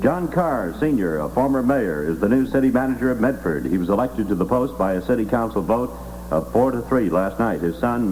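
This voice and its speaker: A male newscaster from 1960s radio with a fairly low voice that swings widely in pitch. He reads scripted copy in a formal announcer manner. The old broadcast recording carries a faint hum. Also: a little mellow, low-quality recording. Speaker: radio news anchor. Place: radio studio.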